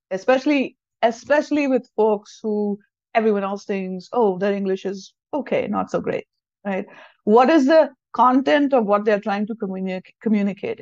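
Interview guest: Indian-accented woman, mid-pitched, thoughtful explainer, dry but muffled low-fidelity sound. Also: no room sound. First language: Hindi. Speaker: podcast guest, English language teacher and trainer. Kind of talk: conversational interview answers. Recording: dull lo-fi audio.

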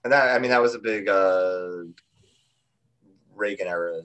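A man co-hosting a podcast in casual discussion, halting and repeating words as he reasons aloud. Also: sits low, a bit bright, muffled recording. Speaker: podcast co-host.

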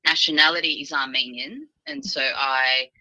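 A woman from Australia, a podcast guest, telling her personal story in a casual, halting way with easy laughs. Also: low-pitched, very animated, pushed and bright, dry recording, rough recording.

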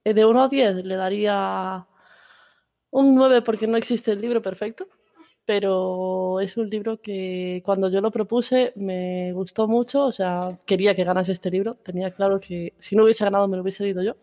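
A woman's voice: mid-range voice, fairly even intonation, normal speed, warm tone, muffled recording. A woman from Galicia in Spain, hosting a casual book club podcast in a chatty, self-deprecating way. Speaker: podcast host.

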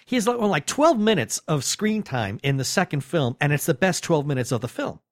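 Animated, stammering man co-host, slightly high voice. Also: a little fast, no room sound, studio quality. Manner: animated, stammering restarts, emphatic. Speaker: podcast co-host. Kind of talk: casual chat.

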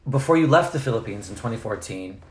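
A man's voice: medium pitch, animated, fast talker, echoey room. A man interviewing a guest in a chatty, curious way, prompting him with questions. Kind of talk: casual interview conversation.

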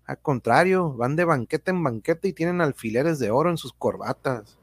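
A man's voice: a little high, animated, normal speed, no room sound. Man playing an indignant, folksy explainer, close dry sound. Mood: indignant, sarcastic. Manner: rhetorical, emphatic, tag questions. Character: indignant, folksy man explaining how foreign banks exploit people. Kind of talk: amateur radio drama reading.

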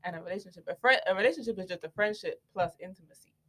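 A woman's voice: medium pitch, fairly bright tone. A young woman from the UK, a guest in a podcast discussion, who pushes back with pointed questions. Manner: challenging, quick questions. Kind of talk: casual group discussion.

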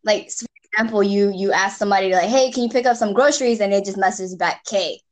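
A woman's voice: mid-range voice, fast. A young woman from Singapore with an American accent that carries Singaporean traces, chatting expressively as a podcast guest and laughing often. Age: young adult. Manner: lively, expressive, laughs often, swears casually. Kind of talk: casual chat.

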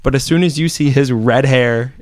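A young man co-hosting a casual podcast, talking in long enthusiastic rambles. His voice is smooth and clear at an ordinary pitch, and the recording is dry and close. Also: normal speed, darkish tone, no echo. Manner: rambling and enthusiastic, full of "like" and "you know". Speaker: podcast co-host.

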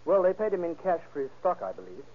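An actor playing a polite gentleman witness with formal wording in an old radio drama. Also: a little high, big pitch swings, quick pace, a little hiss or hum. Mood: courteous. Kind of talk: 1930s radio acting. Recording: muffled old radio recording.

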